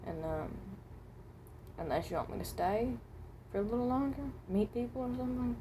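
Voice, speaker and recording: A woman playing a hesitant, mild-mannered traveler from another dimension, speaking haltingly and often rephrasing in improvised character voice lines. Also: on the high side, animated, mellow, slight background noise, natural voice, poor audio. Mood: hesitant, reassuring.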